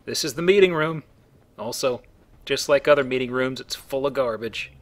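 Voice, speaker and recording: A man's voice: medium pitch, moderate pace. A man hosting a video game playthrough with casual, joking commentary and a wry manner. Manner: wry, acts out mock quotes. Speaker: video game let's play host.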